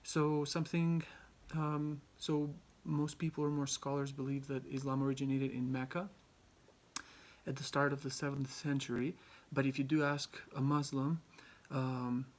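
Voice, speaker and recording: A young man from Albania giving a class presentation, halting often with ums and restarts. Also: medium pitch, little pitch movement. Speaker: student presenter.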